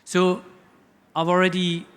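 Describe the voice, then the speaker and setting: A man with a French accent giving a relaxed, loosely worded talk on wildlife photography to an audience, full of fillers and restarts. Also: high-pitched, slow pace, clean tone. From France. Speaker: photography lecturer. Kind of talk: conference talk.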